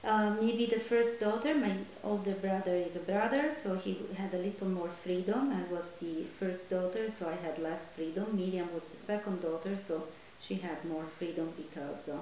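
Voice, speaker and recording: Italian-born woman in her fifties, thoughtful halting answers, very echoey room, faint hiss. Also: fairly low pitch, darkish tone. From Italy. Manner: hesitant, thoughtful, many fillers. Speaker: interviewee.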